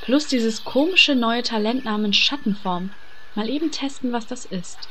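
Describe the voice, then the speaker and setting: Bossy group-leader priestess, a woman; higher voice, reverberant room, slight hiss. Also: moderate pace, close to an everyday voice. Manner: bossy, teasing.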